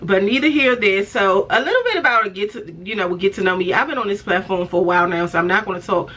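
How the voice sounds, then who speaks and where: Chatty woman in her forties, a little raspy. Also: mid-range voice, fast talker, fairly bright tone. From Washington DC area. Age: 40s. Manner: chatty, affectionate, many fillers, laughs often. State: slightly raspy and stuffy after illness. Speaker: fashion vlogger. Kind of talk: casual talk to camera.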